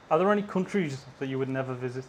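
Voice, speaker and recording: A man's voice: medium pitch, a little hiss or hum. A man interviewing a guest, steadily posing and framing questions.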